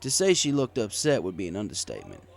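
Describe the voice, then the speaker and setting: Lively low-voiced male story narrator. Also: fast, vocal fry, noisy background. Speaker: audiobook reader. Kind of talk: first-person story narration as a bartender. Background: music.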